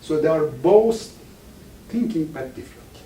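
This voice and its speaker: A man whose first language is Farsi gives an informal lecture to an audience, speaking haltingly and often restarting words. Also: a little high, expressive, dark tone, a little hiss or hum. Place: lecture room with live audience. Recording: echoey room, mic far off.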